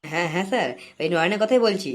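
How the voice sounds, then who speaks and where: A man playing a fast-talking cartoon informer. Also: high-pitched, lively intonation, slight background noise. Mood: eager, bargaining. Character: cagey, money-minded young male police informer. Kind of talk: comic cartoon dialogue.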